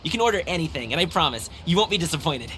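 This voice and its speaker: Bright, animated, cheerful young guard. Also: male voice, on the high side, rapid-fire, slight background noise. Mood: upbeat, eager. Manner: chatty, upbeat, reassuring. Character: cheerful, friendly young male guard, eager local guide. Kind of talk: animated video game voice acting.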